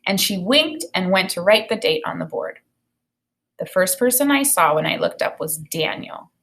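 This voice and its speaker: A woman in her thirties, an elementary teacher, reading a children's novel aloud and explaining it in an expressive way. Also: medium pitch, moderate pace, vocal fry. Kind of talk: read-aloud of a children's novel with think-aloud commentary. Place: small indoor room with bookshelf and whiteboard.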